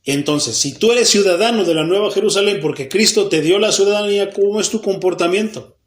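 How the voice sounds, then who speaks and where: Mexican pastor in an echoey church hall. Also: male voice, high voice, brisk. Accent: Mexican Spanish. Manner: asks the congregation questions and answers them himself; acts out the people he quotes, even mock babbling. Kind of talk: Bible study sermon.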